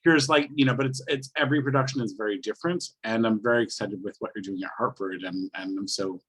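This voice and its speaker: A man with a fairly low voice speaks as a playwright in a casual interview. He goes haltingly, often searching for the next word. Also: fairly bright tone, husky, rough recording. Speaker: playwright, panel guest.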